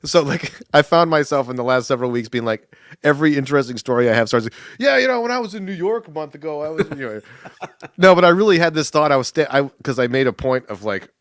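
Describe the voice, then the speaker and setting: A man co-hosting a podcast in casual chat, telling animated stories, acting out quotes and laughing often. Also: fairly high pitch, quick pace, dry recording, studio quality. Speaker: podcast co-host.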